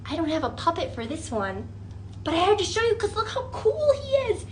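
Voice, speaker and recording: A woman leading an interactive children's storytime as a librarian, talking in an animated way with exaggerated wonder and coaxing questions. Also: very high-pitched, fairly quick, noisy background.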